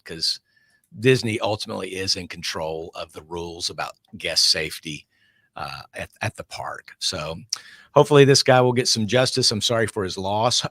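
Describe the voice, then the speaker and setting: A man's voice: low voice, a bit bright, no room sound, studio quality. A man giving casual commentary as a guest on a panel.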